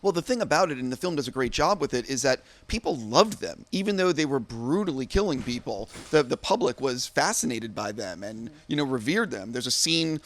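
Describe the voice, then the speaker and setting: A man's voice: mid-range voice, expressive, a little fast, few pauses. A man interviewing in a studio, speaking English as a second language, eager and full of fillers and restarts. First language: not English. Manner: enthusiastic, hesitant with many fillers and restarts. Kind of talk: casual interview. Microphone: studio microphone.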